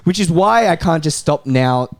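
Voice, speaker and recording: A man's voice: a little high, expressive, moderate pace, no room sound, studio quality. A man talking football as a fan panellist in a casual debate, stressing points by repeating himself.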